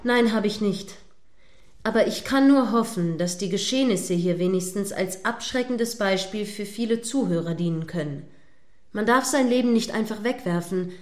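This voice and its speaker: An actress playing a solemn, moralising radio commentator, speaking formally in a satirical radio play. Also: female voice, mid-range voice, lightly acted. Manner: preachy, formal. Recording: echoey, roomy sound.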